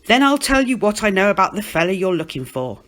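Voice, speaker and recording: Storybook character, glum fairground trickster man played by a woman, bitter, sighing, needling, very varied pitch. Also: big pitch swings, fairly quick, fairly bright tone. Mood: glum coaxing. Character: glum, bitter man who runs a fairground tickling hustle.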